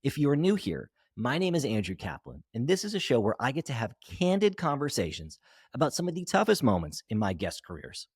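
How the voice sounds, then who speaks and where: Lively podcast host. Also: male voice, mid-range voice, brisk, slightly rough, no room sound, studio quality. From US East Coast. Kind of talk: podcast intro and interview questions.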